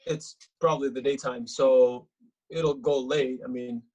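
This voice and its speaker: A man's voice: mid-range voice, steady tone, a little slow, a little mellow, close to an everyday voice, rough recording. A man playing a cocky, oblivious young actor and ladies' man in a table read of a comedy script, with a breezy, boastful manner.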